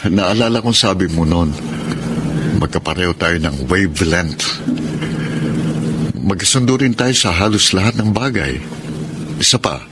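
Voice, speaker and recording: Earnest father, deep, animated voice. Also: unhurried, pauses often, heavy background noise. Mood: earnest, hopeful. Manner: earnest, dramatic. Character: earnest, emotional widowed-style father of a teenage girl. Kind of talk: Filipino radio drama acting.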